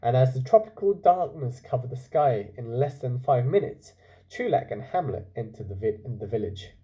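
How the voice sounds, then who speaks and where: A man reads a children's story in a warm, relaxed tone with lots of rise and fall. He tells it expressively and steps out of the tale to put questions to his young listeners. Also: medium pitch, normal speed, poor audio. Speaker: teacher reading a story aloud to children.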